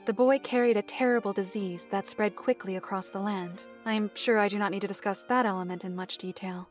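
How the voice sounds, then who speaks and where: A woman playing a solemn storyteller, narrating dark fantasy lore in a formal, measured way. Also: mid-range voice, fairly even intonation, soft background music, natural voice.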